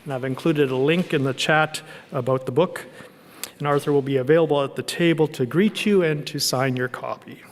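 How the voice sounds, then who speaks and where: A man introducing an evening event and announcing its arrangements in a measured way. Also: on the high side, in a large hall. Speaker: event host.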